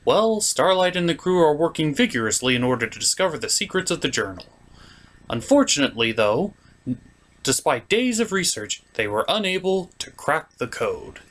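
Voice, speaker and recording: A man's voice: on the high side, sing-song, normal speed, fairly bright tone. A man giving a scripted comic episode recap in a theatrical manner, as a reviewer and puppeteer. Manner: theatrical, slipping into character voices.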